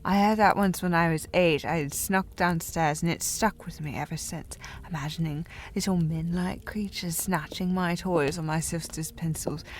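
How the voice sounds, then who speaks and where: A woman playing a tipsy, haughty young noblewoman in a historical audio drama, teasing and rambling in a halting way. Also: on the low side, steady tone, a little hiss or hum, natural voice. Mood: playful, tipsy. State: playing slightly drunk. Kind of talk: scripted audio roleplay, period drama.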